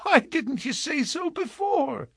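A man's voice: high-pitched, big pitch swings, measured pace, fairly bright tone, husky, no echo, pitched above the performer's own voice, exaggerated voice. A man voicing an innocent little girl in a storybook, with artless, earnest, childlike prattle and a thin voice. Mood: gleeful, trusting. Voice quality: thin, lighter voice.

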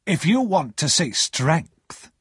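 A brusque, commanding lawyer from a storybook, acted out by a male narrator. He speaks sharply and deliberately, pitched up and swooping, with a husky edge. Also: big pitch swings, a bit bright, dry recording, clean studio sound, full character voice.

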